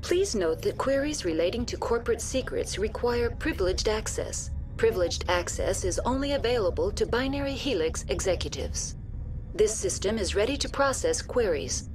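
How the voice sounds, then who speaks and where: An actress playing a polite, impassive facility computer in a video game, speaking in formal, measured announcements. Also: female voice, medium pitch, lively intonation, soft background music, lightly acted. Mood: calm, impassive. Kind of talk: scripted video game dialogue.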